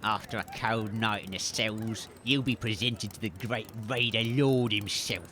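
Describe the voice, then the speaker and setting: A man's voice: fairly low pitch, unhurried, sharp tone, a little hiss or hum. A man playing an officious, commanding raider henchman who declaims in a comic audio drama. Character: officious male raider henchman, heralding and threatening.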